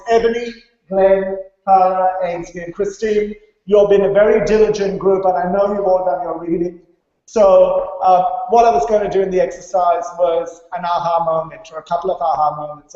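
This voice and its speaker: A man's voice: high voice, mellow. Man presenting in a very echoey hall. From India. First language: English. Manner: didactic, stresses key points with check-in tags. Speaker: conference speaker. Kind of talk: conference presentation. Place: large reverberant conference room. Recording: very echoey hall, dull muffled sound.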